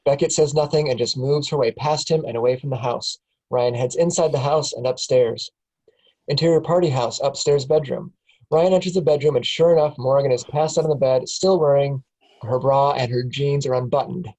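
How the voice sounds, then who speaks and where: A woman's voice: a bass voice, moderate pace, mellow, poor audio. A woman reading stage directions aloud in a casual table read, often breaking into laughs.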